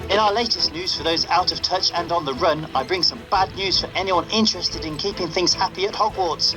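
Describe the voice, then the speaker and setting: Guy doing a sarcastic pirate radio newsreader, highish voice. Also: natural voice, low-quality recording. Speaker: underground radio host. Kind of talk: radio news broadcast. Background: game music.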